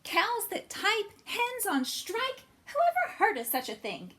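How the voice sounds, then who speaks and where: A woman voicing a furious, indignant male farmer from a children's storybook, exclaiming with drawn-out emphasis. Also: very high-pitched, wildly swooping pitch, a bit bright, pitched above the performer's own voice, full character voice. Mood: exasperated, furious. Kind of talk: children's storybook read-aloud.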